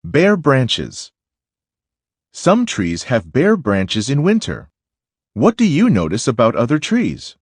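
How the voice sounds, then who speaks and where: A man reads a nonfiction picture book for children. His pitch moves up and down a great deal while the pace stays slow and deliberate. The voice is a little husky, recorded close and dry. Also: huge pitch swings, no room sound. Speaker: read-aloud narrator.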